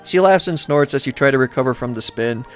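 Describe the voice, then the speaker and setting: A man narrates a visual novel in a casual let's play style. His mid-pitched voice moves around a lot, with halting, bemused comments dropped in. Faint music plays behind him. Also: expressive. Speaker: let's player reading a visual novel aloud.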